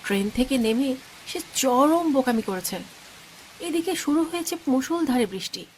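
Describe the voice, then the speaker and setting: A woman's voice: high voice, animated, normal speed, a little mellow, a little hiss or hum, low-quality recording. A woman narrating a scripted horror cartoon story.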